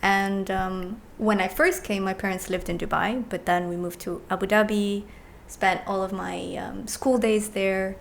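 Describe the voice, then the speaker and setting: A woman's voice: medium pitch, steady tone, a little slow, creaky, hardly any pauses, a little hiss or hum. A woman in her thirties from Egypt, a guest chatting casually on a podcast and telling stories about growing up. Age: 30s. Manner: chatty, storytelling. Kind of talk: casual chat.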